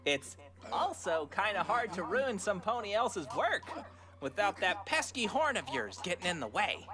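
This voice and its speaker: A man's voice: sits high, sing-song, moderate pace. A man voicing a gleefully menacing young male cartoon pony in a fan fiction audio drama, drawing out mocking threats with chuckles. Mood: sinister taunting. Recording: music behind the voice.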